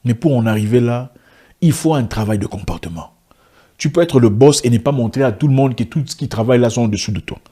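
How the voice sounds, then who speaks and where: Man ranting into his camera, french isnt his first language, husky, lots of questions and restarts. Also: medium pitch, lively intonation, fast, mellow. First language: not French; an African language. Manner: emphatic, rhetorical questions, frequent restarts. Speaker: online video commentator. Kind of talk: impassioned monologue to camera.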